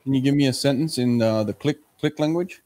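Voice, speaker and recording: A man's voice: medium pitch, brisk, dark tone. A man in his forties hosting a casual podcast over a video call, asking incredulous, playful questions. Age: 40s. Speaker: podcast host.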